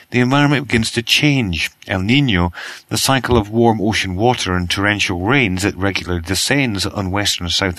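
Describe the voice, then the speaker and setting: British man, croaky from a cold, news reader, lowish pitch, bright forceful tone, dry close sound. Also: dry recording. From Britain. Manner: reads steadily, spells out web addresses slowly. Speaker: news podcast host. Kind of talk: read news bulletin.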